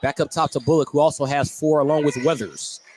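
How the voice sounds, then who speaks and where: A man's voice: fairly high pitch, a little fast, a little mellow, slight background noise, poor audio. A man working as play-by-play announcer, giving live basketball commentary on the radio.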